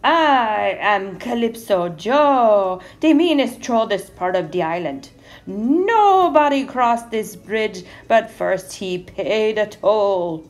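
Woman voicing a loud, mean old troll man. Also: sits high, very animated, takes it slowly, hardly any pauses, voice pushed up, exaggerated voice. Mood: menacing, booming. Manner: roaring, growling, bullying island-flavoured speech. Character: mean, cranky old male bridge troll. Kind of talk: storybook character voice.

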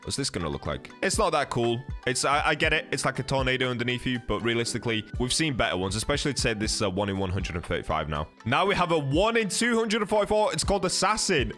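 A hyped man commentates on a game for his viewers in a fairly high-pitched voice. His delivery is very animated and exclamatory, stretching words for emphasis, with music playing behind him. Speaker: gaming video creator. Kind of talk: excited gaming commentary, talking to viewers.

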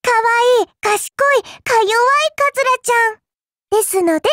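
Very high-pitched sly little girl. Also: expressive, moderate pace, fairly bright tone, no room sound, studio quality. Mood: playful, confiding. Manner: polite, coy, teasing, cutesy. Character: small cute girl spirit servant, sly, smug, playful. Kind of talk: anime game character voice lines.

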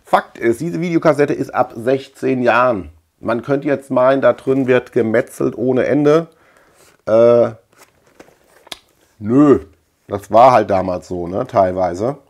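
A middle-aged man from Germany with a mid-pitched voice presents a collection in a casual show-and-tell. He talks informally and loosely, circling back and repeating words as he rambles along. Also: slow pace, darkish tone. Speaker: video collector and channel host. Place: indoor room lined with shelves of tapes.

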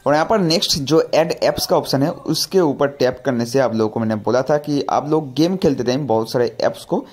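A man's voice: medium pitch, a little fast, darkish tone. A man narrating a scripted tutorial as a gaming channel host, explaining step by step and addressing viewers directly.

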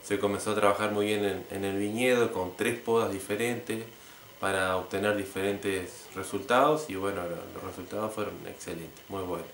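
Winemaker, male, thirties, explaining in interview style, slightly deep voice in a reverberant room. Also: steady tone, normal speed. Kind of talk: interview talk to camera. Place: indoor room with brick wall. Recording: echoey room with faint noise.

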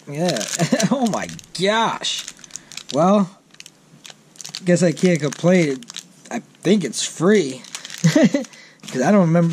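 A man's voice: a little high, darkish tone. Easygoing garage vlogger, man, 30s, talks off the cuff into a body cam with packaging noise all around. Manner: rambling, self-correcting, easygoing. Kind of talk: casual unboxing chat. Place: home garage, seated on a boat. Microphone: wearable action camera. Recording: packaging crackle over voice. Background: crinkling packaging.

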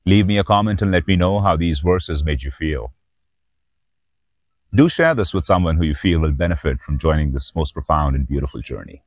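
A man speaking in a solemn, measured way as a spiritual host reading sacred verse. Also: sits low, no room sound. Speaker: spiritual teacher and reader, host of the series. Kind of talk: slow devotional reading of sacred verse with short host remarks.

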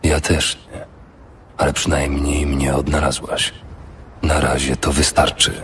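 An actor playing a steadfast, devoted human paladin in an audiobook drama, speaking in a measured, earnest way. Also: male voice, rumbling low, completely flat delivery, slight background noise. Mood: earnest, tender. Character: steadfast human paladin warrior, devoted, faithful, quietly wry.

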